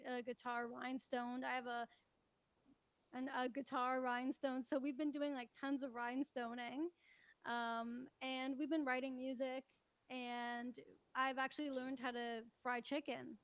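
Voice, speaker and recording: A woman's voice: high voice, little pitch movement, a little slow, fairly bright tone, clear, resonant tone, no room sound. A young woman, a musician chatting casually as an interview guest. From Toronto, Canada. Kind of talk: casual chat.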